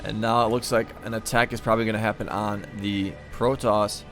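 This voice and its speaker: A man's voice: on the low side, flat delivery, fairly quick, fairly bright tone, soft background music. A man giving live commentary on a real-time strategy match in an easygoing, thinking-aloud way, and he is losing his voice. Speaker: game caster.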